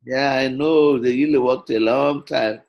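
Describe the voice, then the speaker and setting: An older woman chatting warmly on a video call, encouraging and affirming, with frequent agreement. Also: a bass voice, a little slow, gravelly, highs cut off. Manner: encouraging, affirming, many backchannels. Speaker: Toastmasters region advisor. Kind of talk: casual video call chat.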